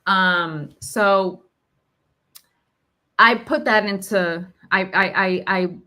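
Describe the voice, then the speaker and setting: A woman's voice: mid-range voice, very slow, sharp tone. A woman in candid, reflective conversation, thinking aloud emphatically in short, broken phrases. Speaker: podcast host sharing personal reflections on grief.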